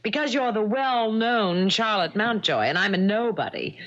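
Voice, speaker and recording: On a muffled old radio broadcast, an actress plays a determined young woman writer confronting a rival. Her lower-pitched voice swings expressively, cool and deliberate, with an aggrieved, accusing edge in classic mystery drama style. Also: animated, natural voice. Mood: bitter, accusing. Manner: pointed, coolly confrontational, deliberate.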